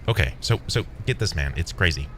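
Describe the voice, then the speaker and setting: A man playing an excitable, incredulous caller rambling through a comedic ad monologue. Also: sits low, fairly quick, a little hiss or hum. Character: excitable, rambling man pitching a product over the phone.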